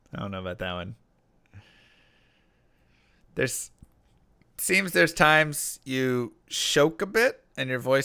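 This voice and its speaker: Guy on a live stream reading chat and rambling, bright crisp voice, dry close mic. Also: fairly high pitch, no room sound. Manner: rambling, lots of fillers and restarts, reads chat messages aloud. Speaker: streamer and rapper.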